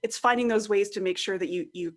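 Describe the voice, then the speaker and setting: A woman's voice: medium pitch, fast. A woman speaking as a podcast guest in a conversational interview, informal and thinking aloud, voicing imagined quotes as she explains. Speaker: podcast guest, online course creator and coach.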